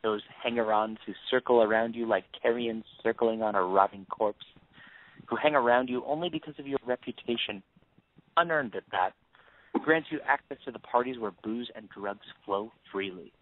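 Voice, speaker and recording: A man acting in an audio play as a bitter grieving father turned cold avenger, accusing and sarcastic in a deliberate, commanding way. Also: medium pitch, no room sound, close to an everyday voice. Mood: menacing, taunting. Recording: tinny phone-like band.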